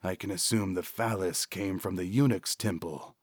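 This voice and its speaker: A man voicing a sinister, courtly old jackal-headed trader in an audiobook, throaty, quiet and formal. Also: deep voice, moderate pace, husky, no room sound, studio quality. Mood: probing.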